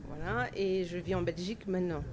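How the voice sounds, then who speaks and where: A woman's voice: fairly low pitch, brisk, a crowd in the background. Cameroonian woman, rambling thoughtful answers. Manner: thoughtful, rambling with many fillers and restarts. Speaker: dancer and festival organizer. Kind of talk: interview answers. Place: outdoor festival grounds. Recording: festival ambience noise behind.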